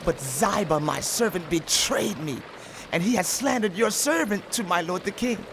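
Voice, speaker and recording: Wronged submissive royal heir, high voice, swooping intonation, deferential, audio drama, watery noise. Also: male voice, lively intonation, moderate pace, vocal fry. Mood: pleading, submissive. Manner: pleading, deferential. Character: lame, wronged, submissive grandson of a fallen king. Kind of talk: dramatized audio Bible acting.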